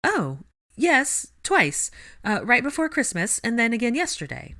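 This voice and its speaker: A woman voicing a flustered, defensive teenage witch with a high, breathless delivery. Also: big pitch swings, measured pace, a bit bright.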